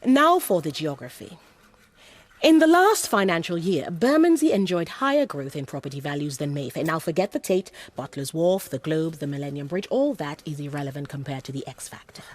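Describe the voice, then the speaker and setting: Poised, husky-voiced saleswoman. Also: low-pitched, very animated, fairly quick. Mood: persuasive. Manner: poised, persuasive, formally courteous. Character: smooth, assured female gallery saleswoman pitching a property investment. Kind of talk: radio drama acting.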